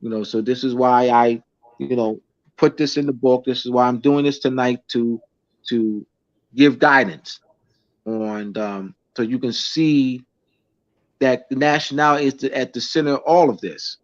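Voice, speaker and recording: A man from the United States, a teacher and author, reads out a lesson outline and then chats casually, halting and repeating words. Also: medium pitch, steady tone, dry recording, muffled recording.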